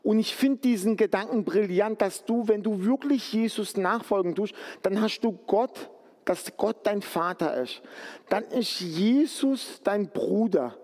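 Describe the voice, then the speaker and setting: High-voiced man preaching in echoing hall. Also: animated, unhurried, darkish tone. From Turkey. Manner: earnest, conversational, pleading at times. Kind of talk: sermon.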